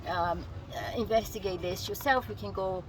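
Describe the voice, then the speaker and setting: A woman with a Brazilian Portuguese accent, a native Portuguese speaker, reads a book aloud outdoors while sitting on a rock. She reads haltingly, stumbles and restarts on words, and adds casual asides. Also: medium pitch, noisy background. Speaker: vlogger reading a self-help book.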